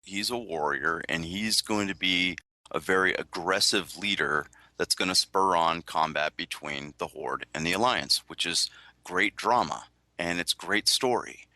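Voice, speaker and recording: A man's voice: low-pitched, measured pace, sharp tone, dry recording. A man on a podcast panel stating his opinions in an assertive, declarative way.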